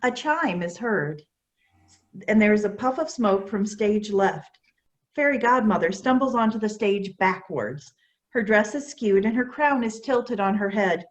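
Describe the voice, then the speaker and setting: A woman's voice: medium pitch, expressive, a little slow. A woman narrating, reading out the stage directions of a children's play.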